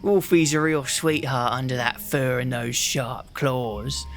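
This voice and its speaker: A man's voice: medium pitch, takes it slowly, vocal fry, soft background music. A man playing a jovial city gate guard in a fantasy ASMR roleplay, with a folksy, teasing manner. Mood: teasing, amused.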